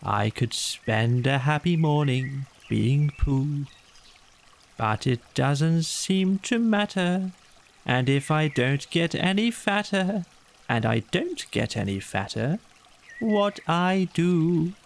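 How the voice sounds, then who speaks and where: Guy doing a sleepy dreamy teddy bear, slow and gravelly, kinda sing-song, music under it. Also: on the high side, animated, full character voice. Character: gentle slow-witted male teddy bear.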